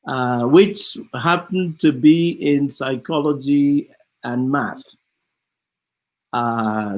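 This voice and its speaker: Slow, deliberate Ghanaian man. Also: mid-range voice, a little mellow, low-quality recording. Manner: measured, halting, deliberate. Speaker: co-host, theology professor. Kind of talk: reflective conversational self-introduction.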